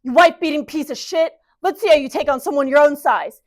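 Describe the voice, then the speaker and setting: A woman voicing a furious, protective young man, shouting with menace in a dramatic monologue. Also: sits high, quick pace, voice pushed up, natural voice. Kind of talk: dramatic monologue in speech competition.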